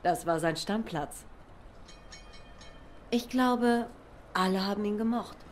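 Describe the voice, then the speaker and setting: An actress playing an obliging, down-to-earth young cat waitress in a noir video game, plain-spoken and a little hesitant. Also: female voice, mid-range voice, takes it slowly, a little hiss or hum, lightly acted. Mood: thoughtful, sympathetic.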